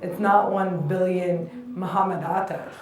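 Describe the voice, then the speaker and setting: A woman's voice: lowish voice, level tone, a little slow, music in the background. A woman speaking in a documentary interview, reflective and earnest. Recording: very echoey, distant sound.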